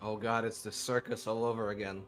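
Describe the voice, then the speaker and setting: A man playing in a tabletop roleplaying session, laid-back with deadpan asides. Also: on the low side, brisk, soft background music. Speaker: player. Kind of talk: casual tabletop play.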